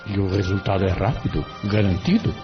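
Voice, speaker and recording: A man's voice: low voice, brisk, over music, lightly acted. An actor with a Brazilian accent playing a benevolent, inquisitive male spirit mentor in an audio drama. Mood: curious, surprised. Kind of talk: audio drama acting in Portuguese. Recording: dull lo-fi audio.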